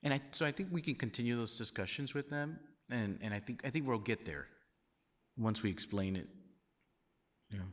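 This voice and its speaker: A man's voice: mid-range voice, moderate pace, long pauses. A man chairing a county meeting, easygoing and a little hesitant, moving the discussion along. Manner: easygoing, hesitant, brief affirmations.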